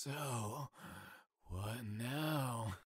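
An actor playing a smug, sadistic serial killer in an audio drama, with mocking, theatrical delivery. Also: male voice, medium pitch, slightly rough, no echo, studio quality. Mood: cold, resigned. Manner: theatrical, mocking, drawn-out taunting.

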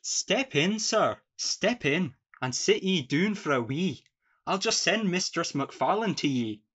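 A man's voice: on the high side, expressive, takes it slowly, fairly bright tone, full character voice. A man acting a cheerful, sly young Scottish country lad in broad dialect for a farcical radio play. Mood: pious, hospitable. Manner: folksy, earnest, broad dialect. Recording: dull lo-fi home recording.

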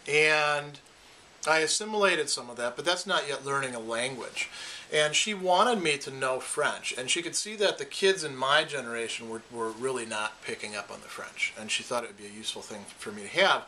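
A man's voice: medium pitch, lively intonation, normal speed, pushed and bright, slight background noise, rough recording. A man from Wisconsin with an Upper Midwest American accent, talking casually to camera as a philosophy lecturer and often restarting his phrases. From Wisconsin, USA. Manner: thoughtful, repeats words as he restarts. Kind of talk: casual personal talk to camera.

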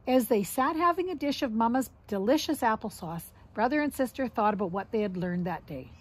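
A middle-aged woman reading a children's storybook aloud in an expressive, instructive way, as if to young grandchildren. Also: a little high, a little fast, husky. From Canada. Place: outdoors on a wooden deck among trees.

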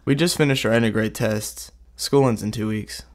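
A man's voice: on the low side, normal speed. A young teenage boy, a student, giving casual answers in an interview. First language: Swiss German learned in early childhood alongside English. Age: young teen.

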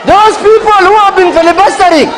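A middle-aged man, a member of parliament at a desk microphone, arguing defiantly and rising to shouts. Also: very high voice, fairly quick. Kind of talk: combative floor debate. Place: large parliament chamber. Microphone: desk microphone, standing. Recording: long hall reverb, faint crowd murmur.

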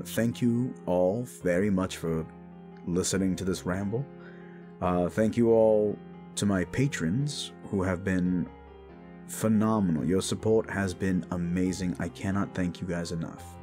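Guy a bit under the weather doing a loose rambly outro, lowish voice, soft music behind. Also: a little slow. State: a little sick, voice not fully recovered. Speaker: audio roleplay creator. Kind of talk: casual rambling outro to listeners.

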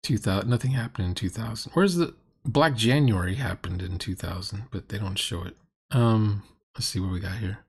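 A middle-aged man with a fairly low voice reacts off the cuff. He is sarcastic and grumbling, mocking what he watches as he comments. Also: moderate pace, husky. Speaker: commentator reacting to a recorded tabletop game.

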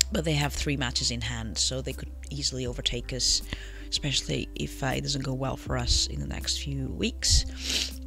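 A man's voice: on the high side, a bit bright. A man with a cold, chatting casually through a football management game run with many fillers and self-corrections. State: has a cold. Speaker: game channel host. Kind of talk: casual gameplay commentary. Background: faint background music.

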